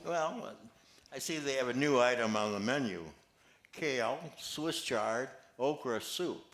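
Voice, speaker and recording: An older man plays an amiable old resident of a senior home in an amateur play reading. His delivery is mild and conversational, with a mid-pitched voice, and the room around him is echoey. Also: a little slow, a bit bright. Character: amiable old male retirement-home resident, mildly upbeat.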